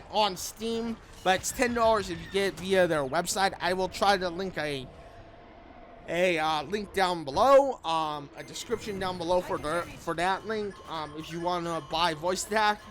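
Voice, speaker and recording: High-pitched man rambling over gameplay. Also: measured pace, a bit bright, a little hiss or hum. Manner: rambling, repeats phrases. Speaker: gaming video creator. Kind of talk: casual rambling video commentary over gameplay. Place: room at home. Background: background music.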